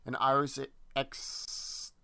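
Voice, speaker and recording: A man presenting a history lesson, reading a dense text aloud in a measured way with small slips and restarts. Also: sharp tone, no room sound.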